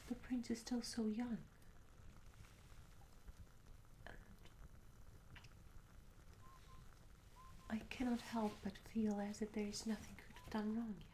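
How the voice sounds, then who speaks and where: A woman playing a curious, polite medieval tavern maid in an ASMR roleplay, speaking in a soft, breathy whisper with gentle, hesitant, old-fashioned courtesy. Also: a little high, level tone, brisk, lightly acted, low-quality recording. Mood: hushed, curious. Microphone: close ASMR microphone. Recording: close whisper over audible ambience noise. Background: candlelit tavern ambience.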